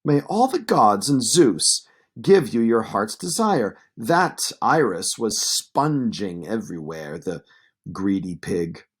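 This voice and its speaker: A man's voice: lowish voice, big pitch swings, slow pace, husky, full character voice. A man voicing rowdy, scornful young suitors exclaiming in an epic read aloud. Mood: gleeful, scornful. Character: crowd of rowdy young suitors, astonished, gleeful.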